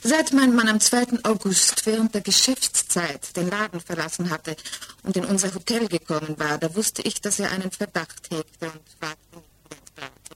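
A woman's voice: medium pitch, moderate pace, a bit bright, slight background noise, close to an everyday voice, rough recording. Composed, defiant widow played by a woman. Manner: composed, direct, unapologetic. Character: self-possessed widow under questioning, defiantly devoted to her lover. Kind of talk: 1960s German radio play acting.

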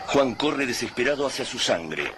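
An actor playing a grave, literary narrator of a doomsday tale in a radio drama, telling it solemnly. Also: male voice, mid-range voice, animated, moderate pace, noisy background, close to an everyday voice.

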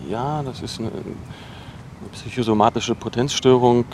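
Actor doing awkward young ex guy, defensive, halting, repeats himself, natural radio drama, bit of hum behind. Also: medium pitch, steady tone, close to an everyday voice. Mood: insistent, confessional. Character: defensive, awkward young dropout, oversharing ex-boyfriend. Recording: noisy location recording with ambient hum.

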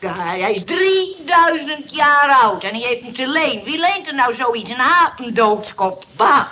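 A woman's voice: high voice, very animated, a little slow, bright, forceful tone, full character voice. An actress playing a sensible, motherly rest-home nurse in a radio comedy, reasoning with people and easily exasperated. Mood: disgusted. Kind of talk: radio comedy drama acting.

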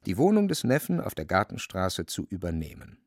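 A man narrating a literary radio play as scripted storytelling, with a creaky voice. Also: sits low, huge pitch swings, a little fast, dry recording, clean studio sound, exaggerated voice.

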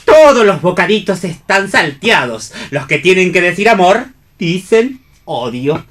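Una actriz interpreta a una figura que comenta la acción con ironía, en tono teatral de radio. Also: female voice, lowish voice, sing-song, measured pace. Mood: wry. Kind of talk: theatrical radio play reading.

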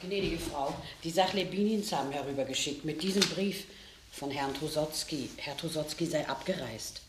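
Deferential maid played by an actress, low-pitched, roomy sound, old radio drama. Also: female voice, moderate pace, close to an everyday voice. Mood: formal, subdued. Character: deferential household maid delivering a message. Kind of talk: 1960s German radio drama acting. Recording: echoey, noisy 1960 radio recording.

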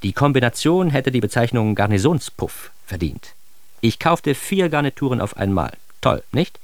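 A man's voice: mid-range voice, sing-song, moderate pace, dry recording, lightly acted. An actor playing a grown son narrating his embarrassed adolescence in a radio drama, with an ironic, mock-formal, theatrically indignant delivery. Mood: ironic, self-mocking.

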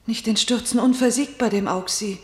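A woman playing a fearful, submissive and tender sister in a classical tragedy radio play, speaking measured, solemn verse. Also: a little high, natural voice. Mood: sorrowful. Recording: echoey room sound.

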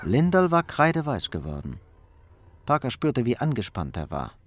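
A man's voice: medium pitch, wildly swooping pitch, normal speed, no echo. A man narrating a crime radio play in a scripted, literary storytelling style.

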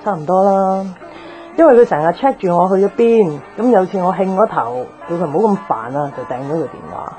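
An actress playing a guarded, jaded young tomboyish bar owner in a radio drama, offhand and slangy with a Hong Kong Cantonese accent. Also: female voice, fairly low pitch, lively intonation, a little fast, dark tone, lightly acted. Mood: rueful, confiding. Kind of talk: naturalistic radio drama acting. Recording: music behind the voice.

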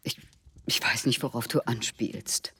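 An actress playing a composed, watchful shopkeeper's wife in a German radio drama, measured and questioning. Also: female voice, a bass voice, pushed and bright, breathy edge, far from the mic, full character voice. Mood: guarded, soothing.

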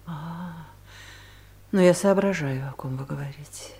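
A woman's voice: low voice, a little slow, vocal fry, dark tone. A woman playing a brassy, chatty office busybody in a radio comedy drama, with a theatrical manner. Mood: discreet, curious. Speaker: actor in an audio play.

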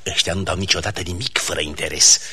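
An actor playing a pompous, condescending, miserly count in a classic comedy for radio theatre. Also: male voice, low voice, steady tone, a crowd in the background, lightly acted. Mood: haughty, probing. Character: pompous, miserly count who boasts of his patronage.

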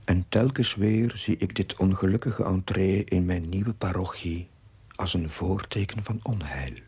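A low-voiced, brooding, breathy priest. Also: male voice, a little mellow, heavily acted. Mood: anguished, fearful. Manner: brooding, halting, confessional. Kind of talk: 1970s television drama monologue. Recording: noisy old TV soundtrack.

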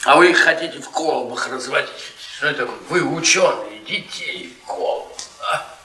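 A man's voice: medium pitch, animated, moderate pace, bright, forceful tone. An actor playing a bitter, mocking middle-aged history professor in a radio play, rambling and repeating his words sardonically. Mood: needling. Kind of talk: theatrical Soviet radio play acting. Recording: roomy, distant 1980s radio play recording.

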